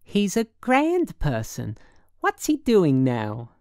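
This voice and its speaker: A woman's voice: fairly low pitch, lively intonation, slow, smooth, no echo, studio quality, pitched above the performer's own voice. A woman voicing a brassy working gal in an audiobook, slangy and suspicious, with affectedly genteel airs. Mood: surprised, warming.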